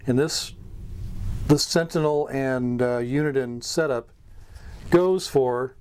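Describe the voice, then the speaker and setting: Guy with a medium pitched voice doing a casual hobby how-to, kinda halting, explains stuff step by step. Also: a little mellow. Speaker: radio hobbyist host giving beginner advice. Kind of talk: informal instructional talk.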